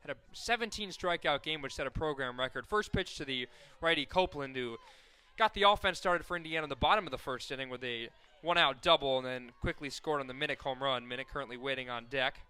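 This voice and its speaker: A young man calling softball play-by-play for college radio, correcting himself often and surging loud on big plays. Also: mid-range voice, fast, hardly any pauses, smooth. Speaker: play-by-play announcer.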